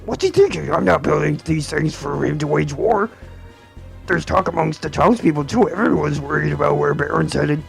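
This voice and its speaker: Guy doing a super gravelly blustery old game engineer, blunt n folksy, loud music behind. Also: on the high side, moderate pace. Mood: worried grumbling. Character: gruff, blustering older male airship engineer.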